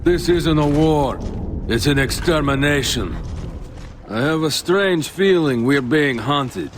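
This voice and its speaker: An actor playing a grave, stern veteran soldier in a video game, speaking solemnly and deliberately. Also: male voice, on the high side, animated, background noise. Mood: grim.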